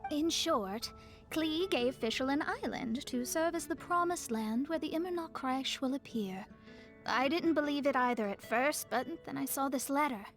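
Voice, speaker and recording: A woman's voice: high voice, normal speed, faint music behind. A woman voicing a proud, put-upon young astrologist in a video game, explaining things in a dry manner. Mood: reluctant narrating.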